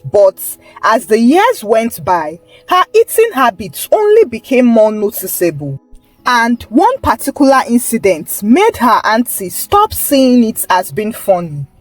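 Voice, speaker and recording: Animated high-voiced woman storyteller. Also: a little slow, no echo, soft background music, low-quality recording. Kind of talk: scripted folktale narration.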